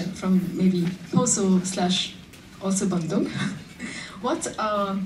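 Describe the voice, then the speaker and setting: Woman, panel moderator, measured questions, far from mic, echoey room. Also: medium pitch, a little mellow. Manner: measured, posing questions with a few fillers. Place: conference hall. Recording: roomy hall, distant mic, faint noise.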